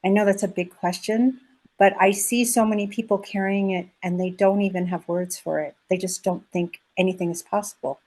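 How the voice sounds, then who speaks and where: A woman's voice: mid-range voice, fairly even intonation, brisk, darkish tone. Woman from South America, call-in participant, earnest, searching, restarts phrases, video call. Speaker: participant asking a question. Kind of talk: audience question on a video call.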